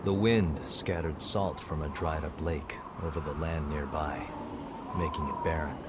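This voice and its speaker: An actor playing a grim, driven warrior in a video game cutscene, with a brooding, earnest delivery. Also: male voice, a bass voice, fairly even intonation, a little slow, heavy background noise. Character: grim, driven male warrior devoted to a woman.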